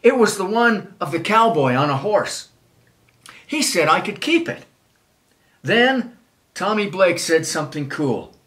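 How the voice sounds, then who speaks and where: An older man reads a children's storybook aloud in an expressive, measured way. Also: on the high side. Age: older, 70s. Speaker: children's author reading own book. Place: small wood-panelled room, indoors.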